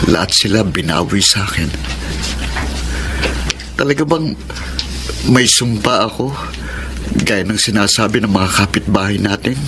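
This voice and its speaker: A man's voice: fairly low pitch, background noise. A male actor with a Filipino accent playing a caring, grief-weary widower in his thirties in a radio drama, earnest and heavy-hearted. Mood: grieving. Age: 30s. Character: sorrowful 37-year-old widower, caring, weary of mourning. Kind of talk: radio drama acting and narration. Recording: dramatic music under the voice.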